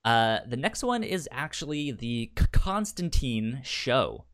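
A man's voice: medium pitch, very animated, takes it slowly, fairly bright tone. A man co-hosting a podcast in casual review chat, stuttering as he repeats sounds and words. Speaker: podcast co-host.

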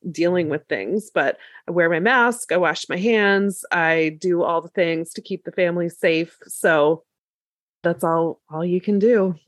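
A middle-aged American woman chatting casually as a podcast co-host, her voice slightly gravelly after the flu, with a storytelling way of talking. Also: lowish voice, a little slow, no room sound, studio quality. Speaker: podcast co-host and lactation consultant.

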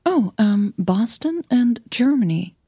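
A woman voicing a soft-spoken, gentle young female opera singer in an audio drama. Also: a little high, big pitch swings, slow, slightly rough, dry recording, clean studio sound, pitched above the performer's own voice, heavily acted. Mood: hesitant.

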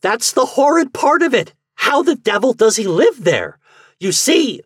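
A man voicing an eager, twitchy, unhinged man in an audiobook story, speaking with urgent, conspiratorial excitement. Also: medium pitch, unhurried, husky, no echo, clean studio sound, voice pushed up. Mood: growing excitement.

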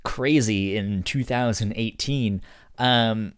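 A man co-hosting a podcast in casual chat, stuttering on syllables and words in an animated, halting way. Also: fairly low pitch, clean tone, no room sound, studio quality. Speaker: podcast co-host.

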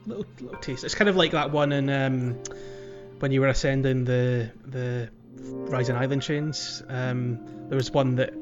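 A man's voice: medium pitch, normal speed, music in the background. Muffled man chatting about games. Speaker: podcast co-host. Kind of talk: casual gaming podcast chat.

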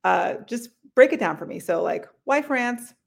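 A woman hosting a podcast, friendly and quick to laugh, with many fillers as she interviews a guest. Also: a little high, expressive, vocal fry. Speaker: podcast host.

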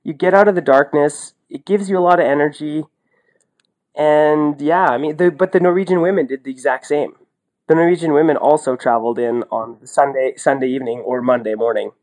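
A man's voice: fairly high pitch, fairly quick, darkish tone. A man chatting casually about sports as a podcast co-host, enthusiastic and emphatic, often rambling as he goes. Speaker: podcast co-host and ski racing commentator.

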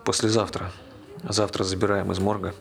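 A man's voice: low-pitched, flat delivery, normal speed, creaky. An actor playing a reserved, considerate ordinary man with understated, brief replies in a naturalistic stage drama. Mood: polite, awkward.

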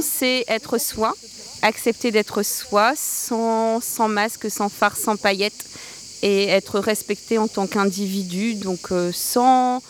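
Femme lente et réfléchie, dans la rue. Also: female voice, a little high, slight background noise. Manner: slow, thoughtful and deliberate. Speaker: passer-by in street interview. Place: outdoors on a street.

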